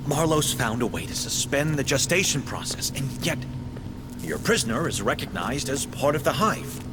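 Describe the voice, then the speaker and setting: Man acting an animated, analytical scientist. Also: mid-range voice, moderate pace, heavy background noise, natural voice. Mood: intrigued. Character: absorbed, analytical male scientist doctor. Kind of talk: dramatic video game cutscene acting. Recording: loud music behind.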